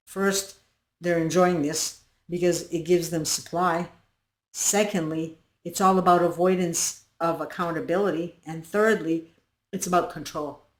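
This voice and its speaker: A woman hosting her own channel, congested from the flu, talking earnestly and directly to her viewers. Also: on the low side. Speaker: channel host. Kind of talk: explainer talk to viewers. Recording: echoey room.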